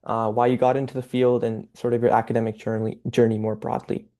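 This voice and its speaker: A man's voice: mid-range voice, flat delivery, fairly quick, warm tone. A young man hosting a podcast, reading an upbeat intro and then asking casual interview questions. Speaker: podcast host.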